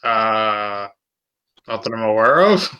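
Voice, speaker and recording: A man's voice: lowish voice, expressive, slow pace, dull audio. A man talking casually as a player in a tabletop game.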